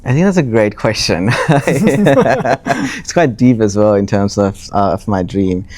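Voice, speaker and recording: A young man from Nepal, answering interview questions in a relaxed way, often restarting phrases with ums. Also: on the low side, slow pace. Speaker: interviewee, account manager.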